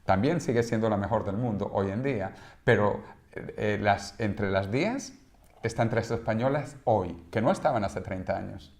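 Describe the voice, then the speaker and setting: A man's voice: lowish voice, lively intonation, moderate pace, darkish tone. A man in his fifties from Venezuela, a guest in an interview, explaining in an emphatic, example-packed way. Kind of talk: conversational interview.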